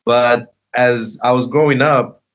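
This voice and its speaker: A man's voice: medium pitch, steady tone, slow, a bit bright, dull audio. A man in his twenties from Tanzania, a bilingual rapper and native Sinhalese and Swahili speaker, talking casually as an interview guest. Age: 20s.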